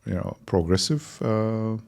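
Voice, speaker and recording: A man of about fifty from Turkey with a Turkish accent, answering interview questions reflectively with halting phrasing. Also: on the low side, animated, creaky, a little mellow. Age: around 50. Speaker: interview guest, technology entrepreneur.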